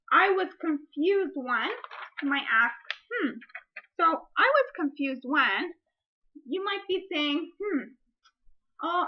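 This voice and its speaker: A woman demonstrating a read-aloud lesson as a teacher, speaking deliberately and posing drawn-out, wondering questions as if to children. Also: high-pitched, dull audio.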